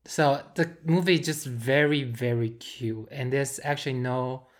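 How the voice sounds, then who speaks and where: Deep-voiced woman co-hosting a podcast, giggly, trips over names, repeats herself. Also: a little slow. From Taiwan. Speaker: podcast co-host. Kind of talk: casual chat about films.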